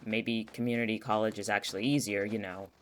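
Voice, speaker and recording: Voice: medium pitch, steady tone, fairly quick, a little hiss or hum, lightly acted. An actor playing a cynical, blunt, sweary young college student in a naturalistic audio drama. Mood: complaining, blunt. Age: young adult. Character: cynical, sardonic young community college student in a strange town.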